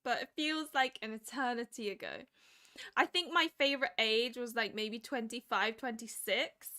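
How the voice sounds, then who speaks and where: Bright high-voiced woman streamer. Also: normal speed. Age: 20s. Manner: chatty, laughs often, animated. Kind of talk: casual chat.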